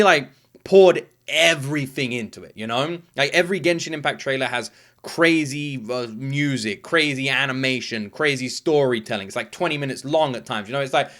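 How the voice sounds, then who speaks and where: A man's voice: a little high, pushed and bright. A man hosting a reaction video, talking casually and emphatically with informal, sweary enthusiasm.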